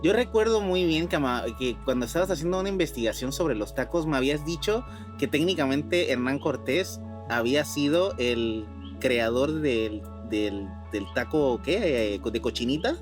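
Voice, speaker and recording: A man's voice: mid-range voice, animated, moderate pace. A Mexican man co-hosting a podcast, chipping in with casual, slangy remarks and questions. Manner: slangy, interjecting. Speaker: podcast co-host. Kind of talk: casual chat. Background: music.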